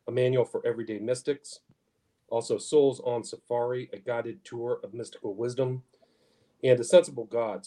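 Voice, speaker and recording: A man's voice: mid-range voice, mellow. A man hosting a podcast, introducing and questioning a guest in a casual interview style. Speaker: podcast host.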